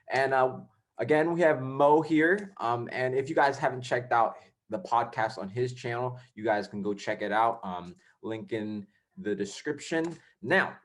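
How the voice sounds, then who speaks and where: A man's voice: mid-range voice, unhurried. A young man hosting a casual podcast, rambling with many fillers and restarts. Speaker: podcast host.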